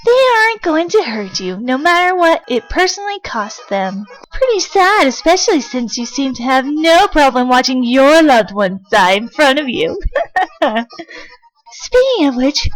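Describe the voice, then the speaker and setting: Woman voicing a high, taunting demon child. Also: sing-song, normal speed, faint music behind, poor audio. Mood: mocking, sadistic. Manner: taunting, sinister. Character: cruel possessing demon child, mocking and menacing. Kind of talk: amateur comic dub voice acting.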